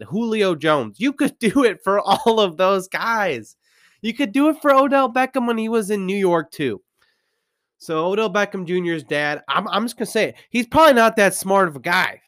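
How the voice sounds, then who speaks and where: A man's voice: on the high side, fairly bright tone, no echo, clean studio sound. A man with a stuffy nose from a cold, giving an opinionated, rambling football recap with many restarts. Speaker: sports commentator. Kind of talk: ranting sports recap.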